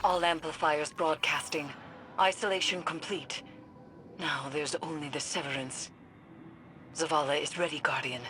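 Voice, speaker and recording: Game ally for a female actor: brooding, resolute mystic, low register, deliberate, music behind. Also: steady tone, natural voice. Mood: ominous, resolute. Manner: solemn, deliberate, ominous. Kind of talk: scripted video game drama.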